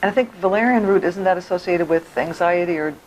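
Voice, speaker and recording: A woman hosting an interview show, hoarse today, chatty and quick to laugh. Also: fairly low pitch, slight background noise, low-quality recording. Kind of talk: casual interview.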